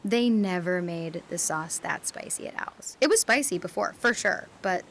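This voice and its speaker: A woman's voice: lowish voice, animated, normal speed, a little hiss or hum. A woman playing a wistful young adult back home from university, speaking in a reflective, confessional radio drama monologue. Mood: troubled, tender.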